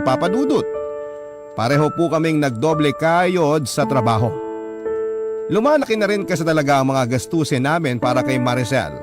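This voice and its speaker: A man with a Filipino accent narrating a scripted, dramatic first-person story written as a letter. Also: medium pitch. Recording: music bed behind the voice.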